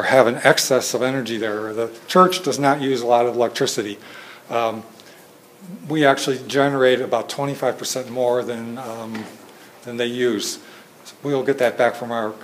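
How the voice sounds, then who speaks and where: Man, mid-pitched, soft-spoken presenter; faint room noise, phone-recorded. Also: low-quality recording. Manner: soft-spoken, halting, many fillers and restarts. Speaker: presenter at a training session. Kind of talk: informal presentation with slides. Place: meeting room with audience. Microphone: phone camera in the room.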